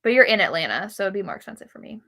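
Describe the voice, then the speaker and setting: A woman's voice: medium pitch, sing-song, very fast, gravelly, sharp tone. A woman co-hosting a live book readalong show, chatting enthusiastically with a friend about authors and events. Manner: enthusiastic fan chatter, laughs easily. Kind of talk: casual chat between friends.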